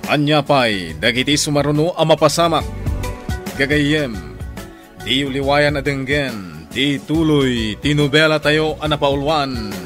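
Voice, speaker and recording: A man's voice: fairly high pitch, unhurried, fairly bright tone, faint music behind. A man with an Ilocano accent doing scripted, dramatic announcing for a radio drama.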